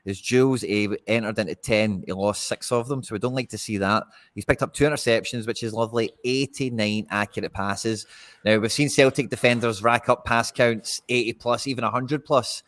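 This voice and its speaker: A man's voice: medium pitch, normal speed. A man co-hosting a fantasy football live stream, casually going through player stats. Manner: steady, reads out player statistics. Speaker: fantasy football podcast co-host.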